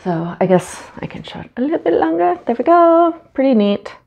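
A woman's voice: high-pitched, big pitch swings, brisk, creaky, dull audio. A woman with a cold and a stuffy nose, chatting casually with lots of ums while she paints at home. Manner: chatty and rambling, many ums. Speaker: acrylic pour artist. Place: home studio, indoors.

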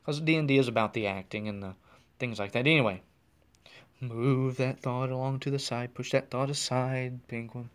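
A man's voice: mid-range voice, fairly even intonation, moderate pace, clear, resonant tone. An American man hosting a podcast alone, chatting casually in a rambling way and often correcting himself mid-sentence, recorded while driving. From United States. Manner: rambling, restarts and self-corrections. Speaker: podcast host. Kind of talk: casual solo chat. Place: in a car, driving.

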